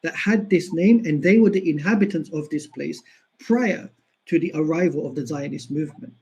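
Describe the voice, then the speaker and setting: A man's voice: a little high, moderate pace, vocal fry, darkish tone. A man giving an earnest history lecture, driving points home by repeating them. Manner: earnest, emphatic repetition. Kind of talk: explanatory lecture.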